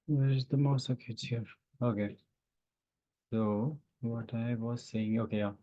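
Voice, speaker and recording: A man teaching a live online software lesson informally, haltingly thinking aloud as he demonstrates. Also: medium pitch, steady tone. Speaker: instructor. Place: online class call with screen sharing. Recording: roomy, dull lo-fi online-call audio.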